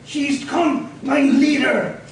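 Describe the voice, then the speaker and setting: A man's voice: very high-pitched, steady tone, takes it slowly, vocal fry, heavily acted. A man playing an alarmed, servile villain's henchman on lookout in a 1940s radio serial. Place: live performance in a coffee house. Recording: distant, very echoey live room with noise.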